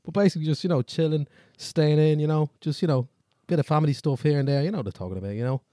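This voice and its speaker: A laid-back Dublin lad, as a German podcast host puts him on, rambles on and on, padding every sentence with fillers. The voice sits fairly high for a man. The sound is close and dry, with no room in it. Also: fast talker, mellow, no room sound, close to an everyday voice. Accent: Dublin Irish (put on). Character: man with a thick Dublin accent.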